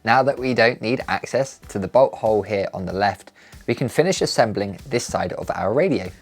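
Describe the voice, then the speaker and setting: A man's voice: on the low side, animated, moderate pace, soft background music. A man giving scripted, step-by-step DIY tutorial narration in a careful, politely instructional manner. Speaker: presenter of a DIY build tutorial.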